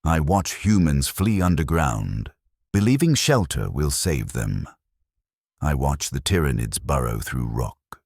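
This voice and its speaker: An extremely low-voiced man narrates dark science fiction in the first person. His delivery is solemn and deliberate, unhurried, yet it rises and falls noticeably from phrase to phrase. The recording is dry and close. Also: big pitch swings, slightly rough, no echo. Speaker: story narrator. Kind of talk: scripted first-person sci-fi horror narration.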